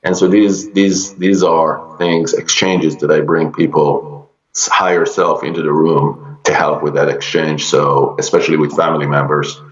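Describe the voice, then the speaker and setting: Casting: middle-aged Israeli man, very low voice, slow and halting, echoey room on lo-fi audio. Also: steady tone. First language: Hebrew. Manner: halting, many fillers and restarts. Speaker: guest, energy healer. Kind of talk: podcast interview.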